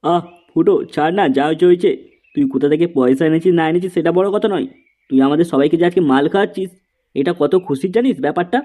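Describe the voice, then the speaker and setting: A man's voice: on the high side, quick pace, dark tone, natural voice. A man voicing an eager, chummy drinking buddy in a comedy cartoon. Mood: grateful.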